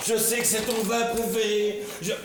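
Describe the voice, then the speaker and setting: A man's voice: high voice, fairly even intonation, moderate pace, noisy background, natural voice. An actor playing a condescending middle-aged politician father, ironic and mock-polite, in a stage comedy. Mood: teasing. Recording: very echoey stage recording.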